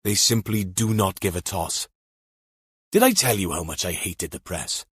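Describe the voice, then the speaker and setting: A man voicing a gruff, irritable senior police detective, curt and muttering, in a thriller audiobook. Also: deep voice, expressive, normal speed, a bit bright, no echo, studio quality, exaggerated voice. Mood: weary, bitter.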